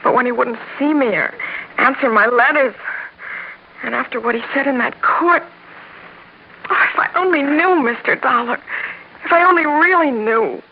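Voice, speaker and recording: A very high, girlish voice of a frightened young wife in a vintage radio play. She pleads hesitantly and lovelorn, repeating herself. The old recording is dull, with faint noise. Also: moderate pace, pauses often. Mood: wistful, distressed. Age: young adult. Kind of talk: old-time radio drama acting.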